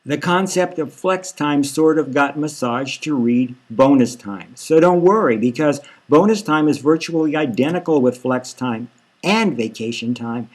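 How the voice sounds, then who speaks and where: Slick, condescending boss for satirical audiobook, read by a man; slightly high male voice, cajoling salesman patter. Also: expressive, measured pace. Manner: folksy hard-sell, cajoling. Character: slick, pushy, patronizing male boss of surrogate mothers. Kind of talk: satirical audiobook character reading.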